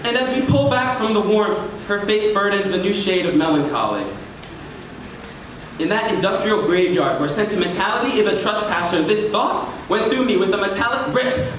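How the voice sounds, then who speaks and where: A man performing spoken word in a theatrical, dramatic and deliberate way, as a poet on stage. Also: high voice, a bit bright, recorded from a distance. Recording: echoey, noisy room recording from afar.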